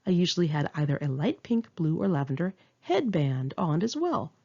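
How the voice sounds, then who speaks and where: Female narrator for candid memoir, animated delivery with some vocal fry, slightly dull lo-fi sound. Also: sits low, normal speed. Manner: candid, informal, wry. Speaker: author reading own memoir aloud. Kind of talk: conversational memoir narration, read in one take.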